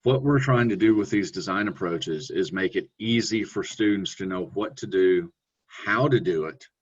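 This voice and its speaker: A man presenting a webinar on online teaching, talking in a relaxed, conversational way with fillers and self-corrections. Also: moderate pace, slightly rough. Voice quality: deep voice. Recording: dull lo-fi webinar audio.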